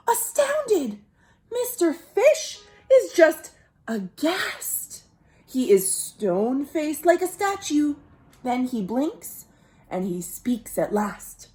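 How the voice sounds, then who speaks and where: A woman reading aloud expressively to young children as a librarian, with playful asides and dramatic pauses. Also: sits high, a little mellow. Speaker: children's librarian, storytime reader.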